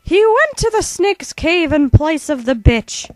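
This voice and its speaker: A woman's voice: very high voice, sing-song, measured pace, dry recording. A woman co-narrating a fan-made comic parody in a slangy, mock-dramatic storytelling style.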